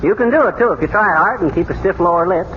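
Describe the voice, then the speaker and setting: An actor playing a blustery, good-natured, scheming small-town husband who wisecracks in folksy fashion in 1930s radio comedy. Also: male voice, sits high, fast talker, close to an everyday voice. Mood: breezy. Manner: folksy, muttering to himself, wisecracking. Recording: very noisy, muffled 1930s radio broadcast.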